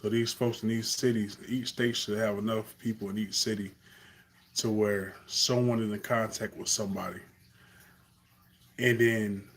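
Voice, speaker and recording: A man's voice: lowish voice, a little hiss or hum. A young man from Carolina with a Southern accent, talking earnestly off the cuff in a live stream monologue, with fillers and restarts.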